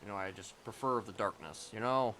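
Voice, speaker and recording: A man's voice: fairly low pitch, fairly quick, sharp tone, a little hiss or hum. A man improvising casual roleplay chat in a hesitant, conspiratorial, rambling way. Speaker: roleplay game player.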